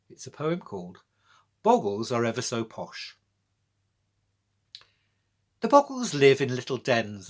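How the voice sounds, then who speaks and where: A man reads and talks to children in a very animated voice that rises and falls widely. His medium-pitched voice has a husky, slightly rough edge. He addresses his young audience directly, like a storyteller explaining things between verses. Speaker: poet reading own children's poems. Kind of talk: children's poetry reading with friendly explanatory chat.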